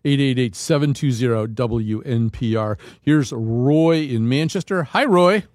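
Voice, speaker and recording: A man's voice: medium pitch, unhurried, darkish tone, no echo, studio quality. A man hosting a call-in radio show, talking casually with many fillers and restarts. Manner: rambling, frequent fillers and restarts. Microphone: studio microphone.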